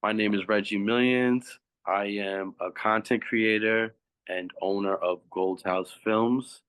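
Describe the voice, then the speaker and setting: A man's voice: lowish voice, steady tone, takes it slowly, fairly bright tone, smooth. A man from Long Island, a podcast guest chatting in a laid-back, easygoing way. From Long Island, New York. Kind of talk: casual chat.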